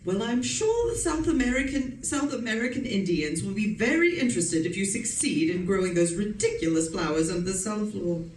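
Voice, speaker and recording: Woman as nagging wife, domineering, scolding, lively pitch, radio suspense acting, distant echoey recording with faint noise. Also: a little fast, few pauses, lightly acted. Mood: exasperated. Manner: scolding, bossy, exclaiming.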